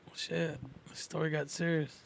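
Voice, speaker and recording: A man's voice: fairly high pitch, level tone, normal speed, gravelly, slight background noise. A man chatting casually on a podcast, slangy and often questioning. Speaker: podcast co-host.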